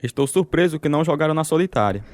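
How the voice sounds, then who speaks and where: A man's voice: on the high side, animated, fast talker, darkish tone, close to an everyday voice. Man playing a grave, devout, forgiving prisoner. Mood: calm, firm. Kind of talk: radio drama narration and dialogue. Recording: guitar music behind the voice.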